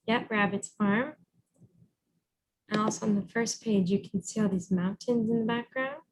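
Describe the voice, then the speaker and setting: A woman reading a storybook aloud as a teacher, encouraging and pausing to guide with questions. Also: medium pitch, low-quality recording. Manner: encouraging, instructive.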